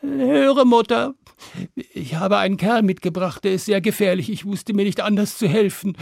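A man's voice: high voice, moderate pace, creaky, darkish tone, dry recording, clean studio sound, voice pushed up. A man voicing a big but easily cowed dragon son in a fairy tale, hesitant and pleading.

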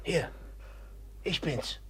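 A man acting a brooding, jealous rival in a German radio play, blunt, sardonic and needling with resentment. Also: extremely slow. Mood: furtive, gruff.

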